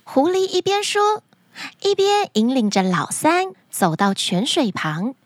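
A woman tells stories for children with dramatic expression. Her voice is high and swings widely in pitch. The recording is dry and close. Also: big pitch swings, unhurried, no room sound. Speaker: children's story podcast host. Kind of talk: animated scripted storytelling for children.

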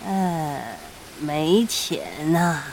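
Slow, sly elderly shopkeeper acted by a woman. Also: deep voice, vocal fry, a little mellow, slight background noise, full character voice, poor audio. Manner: slow, drawling, teasing, chuckling menace. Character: sly, greedy elderly shopkeeper of sinister magic apples. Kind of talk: audio drama acting.

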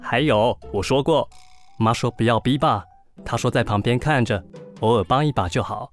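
A man plays a level-headed young son in an audio drama. His mid-pitched voice is reasonable and reassuring. Soft music runs behind the dialogue. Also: normal speed, lightly acted. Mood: calm, patient.

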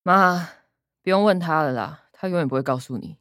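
A woman's voice: low-pitched, a little fast, fairly bright tone, dry recording, studio quality. A woman playing a blunt, impatient younger woman of a family, with a Taiwanese accent, in a radio drama. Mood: bitter, fed up.

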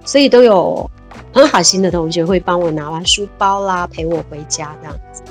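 A woman's voice: lowish voice, a little fast, soft background music. A woman, talking reflectively as an interview guest and laughing easily. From Taiwan. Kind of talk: casual interview chat.

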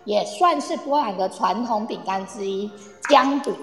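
A woman's voice: medium pitch, lively intonation, normal speed. A woman playing a cheerful, eager tour guide in an amateur radio play, chatting to her group as she explains. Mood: cheerful, encouraging. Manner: chatty, addresses group, uses soft sentence-final particles. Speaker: tour guide in an audio drama. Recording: echoey, long reverb, online audio-room recording.

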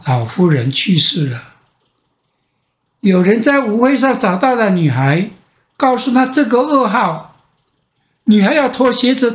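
An older man with a Taiwanese Mandarin accent tells a bedtime fairy tale as a grandfather, with dramatic pauses and repetition. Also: high voice. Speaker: grandfather storyteller. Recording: roomy echo.

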